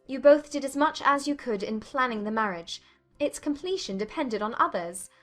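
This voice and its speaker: Young gentlewoman played by a woman, high voice, poised and defiant, articulate period audiobook delivery over soft music. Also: expressive, normal speed. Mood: cool, challenging. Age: young adult. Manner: composed, articulate, firm. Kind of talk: dramatized audiobook acting.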